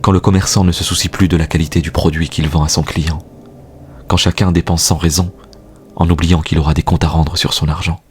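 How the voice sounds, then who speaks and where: A man with a husky voice recites spoken-word poetry in a solemn, earnest, exhortatory manner, posing rhetorical questions. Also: low voice. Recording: music bed behind the voice. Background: music.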